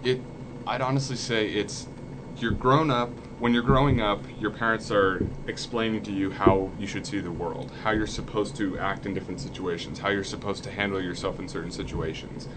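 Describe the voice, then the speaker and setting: A man's voice: lowish voice, steady tone, moderate pace. A young man, a student, talking earnestly in a group discussion and voicing his own questions as he goes. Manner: earnest, acts out questions in quotes. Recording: background noise.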